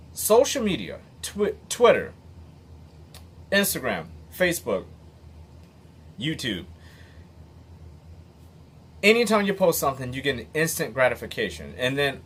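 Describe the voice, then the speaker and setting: A man's voice: a little high, fairly bright tone, slightly rough. A man in his late thirties giving an earnest, blunt motivational monologue, emphatic and sometimes swearing. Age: 30s. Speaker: motivational vlogger. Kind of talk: unscripted commentary monologue.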